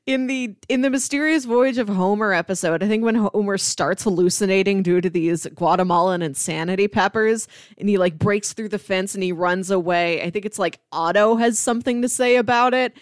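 A woman chats casually as a podcast co-host. Her voice sits at a medium pitch for a woman, and the recording is close and dry, with little room sound. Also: a little fast, hardly any pauses, dry recording. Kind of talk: casual chat about TV sitcoms.

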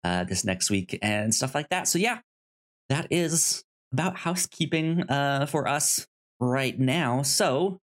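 A man's voice: medium pitch, lively intonation, slow. Stammering man hosting a casual podcast. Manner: stammers, repeating syllables and words. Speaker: podcast host. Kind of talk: casual podcast chat about movies and show news.

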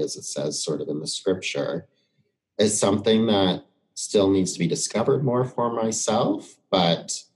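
Very deep-voiced man, thoughtful podcast guest. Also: a little mellow, rough recording. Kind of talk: reflective conversational discussion.

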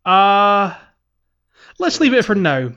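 A man's voice: high voice, big pitch swings, slow pace, sharp tone. A man co-hosting a podcast, talking casually through a video game playthrough. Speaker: podcast co-host. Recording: slightly dull sound, background music.

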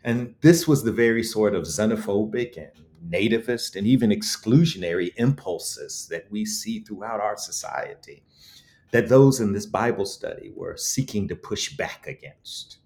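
A man's voice: lowish voice, lively intonation, moderate pace, darkish tone. A man speaking as an interviewed author, thoughtful, earnest and articulate, with rhetorical emphasis. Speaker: author interviewed about his book.

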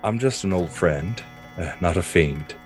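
A man voicing a smug, smooth-talking supernatural villain who is mockingly polite. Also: sits low, lively intonation, a little slow, faint music behind. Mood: smug, menacing.

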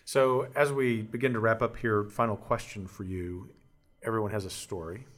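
A man hosting a podcast interview, asking questions in a measured, deliberate way. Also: lowish voice, steady tone. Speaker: podcast host.

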